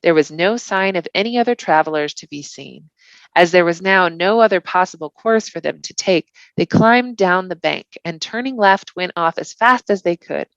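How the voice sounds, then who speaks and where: A woman reading a novel aloud as an expressive storybook narration. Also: lowish voice, normal speed, no room sound, muffled recording. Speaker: volunteer reader.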